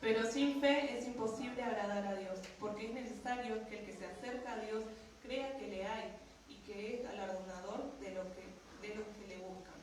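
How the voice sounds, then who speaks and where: A steady, measured voice reads scripture aloud, heard from far across a big, echoing room. The sound carries a strong reverb that smears the words a little. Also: mid-range voice. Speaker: Bible reader. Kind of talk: scripture reading. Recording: distant and echoey in a large room.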